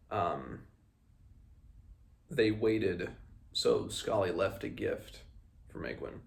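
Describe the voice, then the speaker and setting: A man hosting a read-along, reading a fantasy novel aloud and adding informal recap, measured in the reading and hesitant in his comments. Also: sits low, vocal fry. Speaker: book read-along host.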